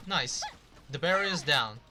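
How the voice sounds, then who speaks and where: A man's voice: on the high side, expressive, unhurried, music in the background. A man doing casual, rambling gameplay commentary, swearing now and then. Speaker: video game streamer.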